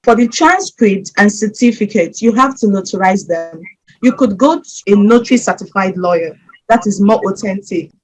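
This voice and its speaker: A woman's voice: medium pitch, normal speed, rough recording. A woman from Nigeria giving methodical scholarship application advice directly to her viewers. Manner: steady, methodical, advising listeners directly. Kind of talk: instructional explainer.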